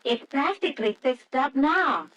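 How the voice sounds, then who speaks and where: An eager, anxious young wife in an old radio drama. Her voice sits fairly high and swings in lively arcs. She speaks impulsively, pleading and hopeful. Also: a little slow, rough recording. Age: young adult. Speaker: actress. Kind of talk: 1940s radio drama acting.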